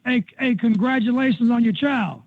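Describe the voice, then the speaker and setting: A man's voice: very high-pitched, normal speed, no room sound. A man, a company executive speaking informally and folksily, often repeating himself. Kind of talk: informal answers on an earnings call. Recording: narrow-band conference phone line.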